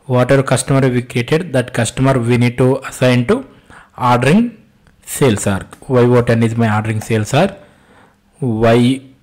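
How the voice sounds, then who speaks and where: A man with an Indian accent and a mid-pitched voice walks learners through software as an instructor. He talks off the cuff, step by step, often repeating phrases and asking himself short questions before answering them. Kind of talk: step-by-step screen-share tutorial, spoken off the cuff.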